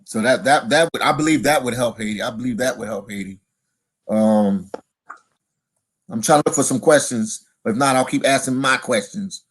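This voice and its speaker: A man's voice: medium pitch, normal speed, breathy edge. A man hosting a casual live stream, reading viewer comments aloud and chatting.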